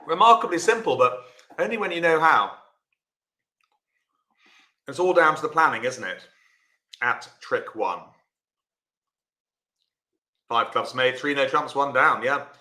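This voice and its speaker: A man teaching a live online lesson in a chatty way, greeting viewers as he explains. His voice is bright and forward. The room adds a clear echo. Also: medium pitch, animated, a little slow, long pauses. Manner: chatty, talks to viewers by name while explaining. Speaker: bridge teacher.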